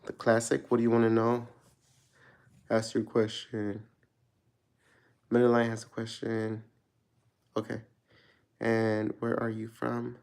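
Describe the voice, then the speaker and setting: A man from Mexico doing a casual tarot reading for viewers, rambling and searching for words, relaxed and laughing at himself. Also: on the low side, takes it slowly, creaky, long pauses. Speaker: tarot reader answering viewer questions.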